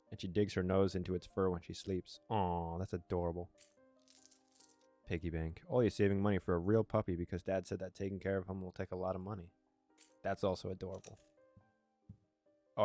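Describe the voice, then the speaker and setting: A low-pitched male gamer with a close, dry sound reads a story game aloud over background music. He drops in casual reactions and now and then stumbles on a word and corrects himself. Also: darkish tone, dry recording. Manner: stumbles over words while reading, self-correcting. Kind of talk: casual let's play, reading narration aloud and reacting.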